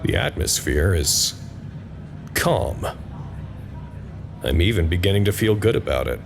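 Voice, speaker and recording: An actor playing an anxious, weary researcher who narrates a log in a measured, wry way for an audio drama. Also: male voice, sits low, expressive, vocal fry, noisy background, heavily acted. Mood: calmer, wry.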